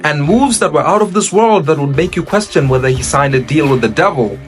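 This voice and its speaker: A man's voice: fairly high pitch, lively intonation, fairly quick, soft background music. A man narrating a jokey documentary and putting questions to the guest. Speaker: narrator and interviewer.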